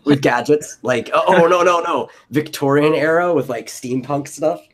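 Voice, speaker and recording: A man's voice: on the high side, normal speed. A man hosting a casual brainstorming chat, thinking aloud in a loose way. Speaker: host of a brainstorming stream. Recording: noticeable background noise.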